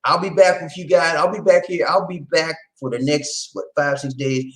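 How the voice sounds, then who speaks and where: A man from Charleston, South Carolina with a Geechee accent, hosting a casual livestream chat, lively and preachy, often laughing and talking to viewers. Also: on the high side, normal speed. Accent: Gullah Geechee, South Carolina Lowcountry. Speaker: livestream host.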